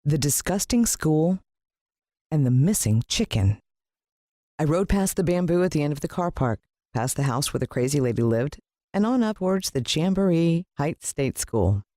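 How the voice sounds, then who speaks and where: American woman, children's audiobook narrator, very lively intonation, dry close sound, dramatic storytelling. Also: low-pitched, moderate pace, no room sound.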